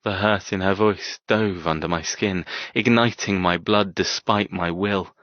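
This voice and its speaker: Audiobook lead: man playing a brooding, tormented cold man telling his story, deep, terse, closely dry recorded. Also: fairly even intonation, measured pace, no room sound, highs cut off. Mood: cold, guarded. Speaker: audiobook narrator. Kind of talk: first-person scripted fiction narration.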